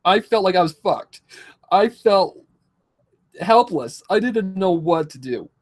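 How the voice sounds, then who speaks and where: A man of twenty-two speaking emotionally to his followers in a halting, repetitive, pleading way, distressed and close to tears, with slightly affected speech. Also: high voice, animated. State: distressed, tearful. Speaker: vlogger. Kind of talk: emotional confessional talk to followers.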